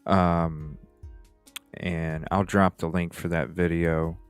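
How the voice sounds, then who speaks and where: A man's voice: low-pitched, little pitch movement, slow pace, clear, resonant tone, no echo, faint music behind. A man hosting a true-crime stream, talking casually and conversationally in a rambling way, repeating himself for emphasis. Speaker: host of a true-crime livestream. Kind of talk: casual case discussion.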